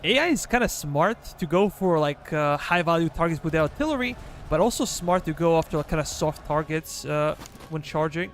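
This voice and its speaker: A man's voice: a little high, lively intonation, brisk, a little hiss or hum. Serbian-accented man streaming a strategy game. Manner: casual, talking to chat while giving orders to troops. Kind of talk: live strategy game commentary.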